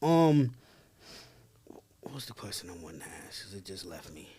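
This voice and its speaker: A man's voice: sits low, very animated, moderate pace, dark tone, husky. A man co-hosting a casual interview in an easygoing, slangy way. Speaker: interview co-host. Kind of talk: casual chat.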